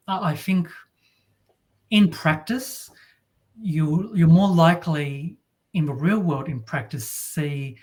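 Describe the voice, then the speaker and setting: A woman from Australia with a deep voice, talking as an interview guest in a wry, halting way with many restarts. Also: a little mellow. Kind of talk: casual interview talk.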